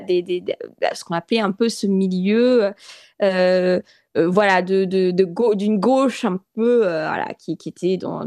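A woman from France, a guest on a radio interview, talking earnestly and stumbling through frequent restarts and filler sounds. Her pitch sits at an ordinary middle height. The studio sound is close and dry, with almost no room echo. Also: darkish tone.

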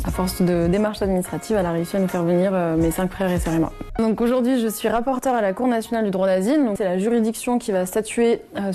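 A woman in her twenties from Syria introducing herself and her work in a measured, hesitant manner. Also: mid-range voice, dark tone. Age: 20s. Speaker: asylum court rapporteur and association president. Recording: music under the voice. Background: music.

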